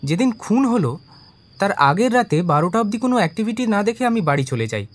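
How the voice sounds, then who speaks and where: An actor in an audio drama playing an eager, deferential small-time private investigator who earnestly defends himself to the police. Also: male voice, sits high, sing-song, brisk. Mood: eager, defensive.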